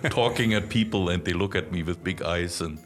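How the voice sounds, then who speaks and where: A man in his fifties from Germany, speaking English with a German accent as a podcast guest, halting and full of fillers as he tells his story. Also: sits low. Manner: halting, many fillers and restarts. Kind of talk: casual conversational interview.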